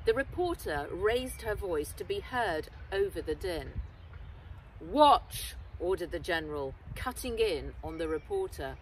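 A middle-aged woman reading a children's adventure story aloud with dramatic, deliberate emphasis, recorded outdoors. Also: on the low side, animated. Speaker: author reading own book aloud. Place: outdoors in woodland. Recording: distant mic, noisy outdoor ambience.

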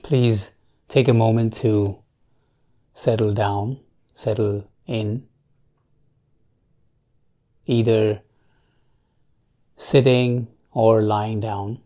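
Male meditation guide, mellow tone, slow pace, calm, measured phrasing, frequent long pauses. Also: fairly low pitch.

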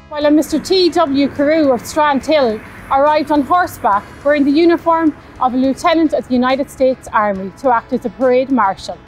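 A woman's voice: a little high, poor audio. Irish woman guiding a town tour. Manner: clear, measured storytelling delivery. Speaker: tour guide. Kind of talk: scripted walking-tour narration. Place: outdoors on a town street. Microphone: lapel mic.

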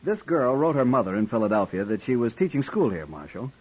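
An actor playing a prim, impatient gentleman from the city, formal and haughty, in a 1950s radio western. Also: mid-range voice, big pitch swings, a little fast, poor audio. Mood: formal, earnest. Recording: 1950s radio drama recording.

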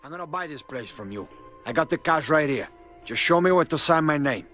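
An actor playing a brash, hot-tempered Cuban-American crime boss with a Cuban accent, blunt, profane and domineering. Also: male voice, on the high side, animated, fairly quick, fairly bright tone, soft background music. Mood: swaggering, pushy. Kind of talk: video game character acting.

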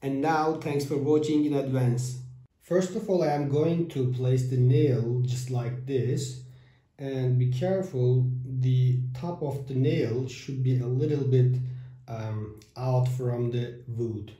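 DIY tutorial host, man in his 30s, very dark mellow tone, halting phrasing, echoey room. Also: medium pitch, fairly even intonation. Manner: halting non-native phrasing with fillers. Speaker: DIY electronics channel host. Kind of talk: step-by-step build tutorial. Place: small room with whiteboard, indoors.

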